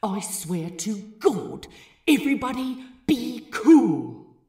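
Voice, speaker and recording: A woman's voice: fairly high pitch, sing-song, takes it slowly, warm tone, echoey room. A woman voicing a scheming young pirate crewman in a fan fiction audiobook, urgent, emphatic and sardonic. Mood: exasperated.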